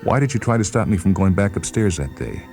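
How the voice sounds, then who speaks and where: A brooding, anguished man, acted by a male narrator, suspicious of all around him. The voice is very deep and intense, from a dramatized audio story. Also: very animated, fast talker, a little mellow, faint music behind. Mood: bitter, challenging. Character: anguished, suspicious male patient who distrusts everyone.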